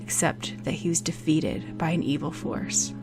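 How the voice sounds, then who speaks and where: Female audiobook narrator, lower register, scripted dramatic storytelling. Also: measured pace, over music, low-quality recording.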